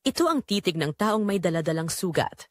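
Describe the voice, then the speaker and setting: A woman's voice: on the low side, lively intonation, a little fast, dry recording, clean studio sound. A woman reading a scripted first-person drama narration in an emotive, dramatic way.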